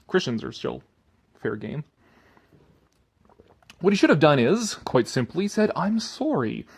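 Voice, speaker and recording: A man giving a sardonic commentary and theatrical reading of an article, drifting into rambling asides. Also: mid-range voice.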